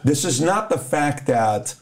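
A man's voice: on the high side, slow pace. A middle-aged man from Iran, a podcast guest, telling stories in casual talk and acting out the dialogue. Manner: animated storyteller who acts out dialogue.

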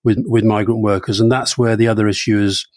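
A man's voice: fairly low pitch, flat delivery, fast, no echo, studio quality. A man co-hosting a casual political podcast, chatty and teasing, repeating words as he thinks. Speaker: podcast co-host.

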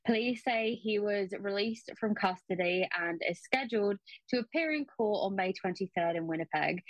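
A woman delivers news as casual chat, with an informal, giggly, self-deprecating manner. Her voice sits at an average pitch and sounds dry, with little room around it. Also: no room sound, highs cut off. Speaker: news segment contributor.

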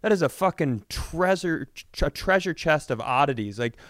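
A man hosting a comedy podcast, telling casual, joking, profanity-laced stories in an animated way. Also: fairly high pitch, moderate pace, no room sound, studio quality.

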